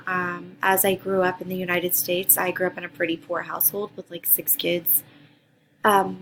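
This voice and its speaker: A woman's voice: fairly low pitch, a bit bright, a little hiss or hum, rough recording. A woman from Costa Rica, a teacher in her thirties, giving an earnest, partly read introduction for a graduate course. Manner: earnest, reads prepared answers with some ums. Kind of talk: reading a course introduction video.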